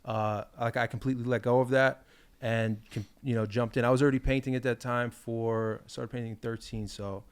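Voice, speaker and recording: A man's voice: medium pitch, fairly even intonation, fairly quick, clear, resonant tone. A man in casual podcast chat, sounding a little congested from a cold, reflective and loose. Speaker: podcast guest, painter.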